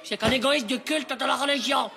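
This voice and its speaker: A voice actor playing a cheeky, excitable ten-year-old boy in an animated comedy, with slangy, brash, grumbling delivery. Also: high-pitched, a little fast, creaky, noisy background. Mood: scheming. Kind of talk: animated children's comedy dialogue.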